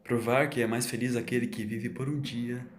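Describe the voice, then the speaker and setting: A man voicing a weary ancient male time traveller, speaking quietly and heavily. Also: medium pitch, steady tone, moderate pace, natural voice. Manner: quiet, subdued and heavy. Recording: roomy echo, faint hiss.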